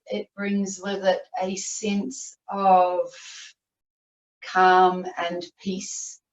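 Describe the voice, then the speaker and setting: A woman yoga teacher leading a guided meditation, giving soothing, unhurried instructions with long pauses. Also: medium pitch, a little mellow. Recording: roomy, distant, muffled video-call audio.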